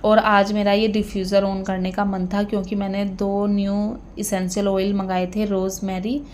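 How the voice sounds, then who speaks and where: A woman in her thirties talks through her housework as a voice-over, chatty and unhurried, with plenty of fillers. Her pitch stays fairly flat and even. A faint hiss sits under the recording. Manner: chatty, unhurried, many fillers and tag questions. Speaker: vlogger. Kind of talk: voice-over narration of a home vlog.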